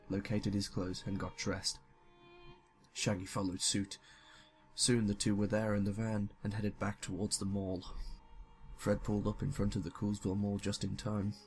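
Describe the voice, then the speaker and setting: A young man reading a story aloud and talking casually as a comic YouTuber. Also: on the low side. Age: young adult. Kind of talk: scripted story narration and casual comic commentary.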